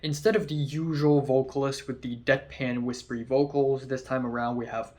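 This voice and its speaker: A man's voice: medium pitch, flat delivery, normal speed, a little mellow. A young man hosting his own channel, giving a casual spoken album review, chatty with lots of fillers and casual swearing.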